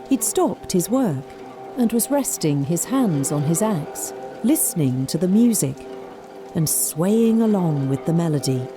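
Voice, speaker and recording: A woman narrating a scripted folk tale in an expressive, measured storyteller's manner. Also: on the low side.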